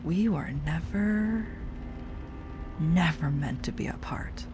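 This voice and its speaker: A woman playing an obsessive, lovesick stalker ex-girlfriend in an intimate audio roleplay monologue, sweet yet menacing, with a breathy delivery that drifts into whispers. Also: on the low side, slow pace, noisy background. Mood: tender, possessive. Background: rain and music.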